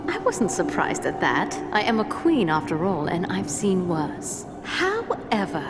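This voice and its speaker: An actress playing a composed, dignified queen in a game cutscene, formal and measured. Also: fairly low pitch, huge pitch swings. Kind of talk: video game cutscene voice acting. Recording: reverberant hall, music behind.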